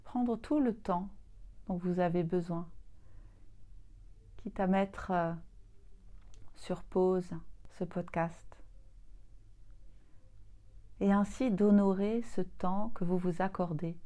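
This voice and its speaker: A woman leading a guided yoga nidra relaxation as a teacher, soothing and gently inviting, leaving long pauses between phrases. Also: lowish voice.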